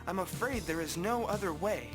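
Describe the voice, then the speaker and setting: A woman's voice: fairly low pitch, lively intonation, moderate pace, over music, close to an everyday voice. A woman voicing an earnest, brave young apprentice hero in a video game, polite and resolute. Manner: earnest, formal. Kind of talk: video game cutscene acting.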